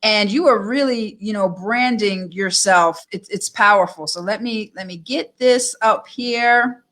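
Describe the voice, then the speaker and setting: A woman hosting a casual live-stream interview, upbeat and affirming with quick asides. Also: mid-range voice, fairly bright tone. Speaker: online talk show host.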